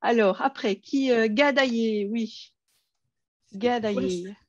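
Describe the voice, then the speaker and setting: A woman's voice: on the high side, slow pace, no room sound, highs cut off. A woman with a Belgian French accent presenting an online workshop, friendly, with many hesitations and fillers.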